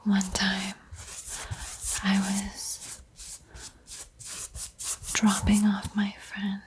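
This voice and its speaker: A woman giving an ASMR art tutorial in a breathy whisper, with a soft, unhurried, playfully eerie and teasing manner. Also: mid-range voice, completely flat delivery, a little hiss or hum. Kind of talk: whispered ASMR painting tutorial with spooky roleplay.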